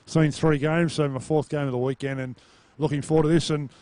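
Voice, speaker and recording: An Australian man doing boundary reports, his voice husky from a cold. His tone is mellow and dark as he chats casually with the commentators. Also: fairly high pitch, fairly quick, no echo. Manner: casual, relaxed chat. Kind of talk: football broadcast commentary.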